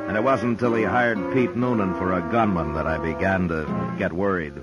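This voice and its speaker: Very deep voice, a man as a laconic marshal, old radio recording with music behind. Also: lightly acted, rough recording. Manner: calm, dry, laconic. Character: steady weary frontier marshal. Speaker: narrator and marshal. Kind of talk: 1950s radio drama acting. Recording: 1950s radio broadcast with music.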